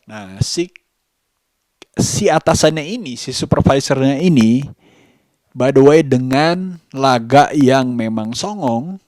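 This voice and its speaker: Jakarta guy vlogging to camera, slow n halting, mid pitch, really dry close sound. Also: lively intonation, darkish tone, no echo. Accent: Jakarta Indonesian. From Indonesia. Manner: halting, hesitant, with long pauses between phrases. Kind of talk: personal vlog storytelling to camera.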